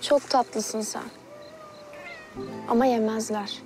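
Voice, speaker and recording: An affectionate young woman who teases gently. Her voice sits high, with faint music underneath. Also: steady tone, slow pace. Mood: teasing. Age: young adult. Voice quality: playful teasing. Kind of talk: TV series acting. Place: outdoors at night.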